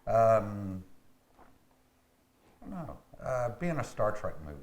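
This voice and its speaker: A man chatting casually as a panel guest, hesitant and halting as he answers. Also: fairly low pitch, big pitch swings. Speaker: interview guest from a community theater. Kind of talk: casual chat. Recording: roomy, echoey, noisy room sound.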